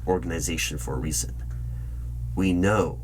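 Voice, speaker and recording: Low-pitched man, probing interviewer, keyboard hum behind. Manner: probing, deliberate, insistent questioning. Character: stern, suspicious male hiring manager of secret bureau. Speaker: roleplay performer as interviewer. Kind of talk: loud-spoken ASMR job interview roleplay. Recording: clear hum, computer keyboard typing noise. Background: keyboard typing.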